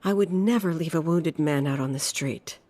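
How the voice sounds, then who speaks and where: An actress playing a weary, protective middle-aged mother in a video game drama, speaking in a firm, measured, tired way. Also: low-pitched, mellow.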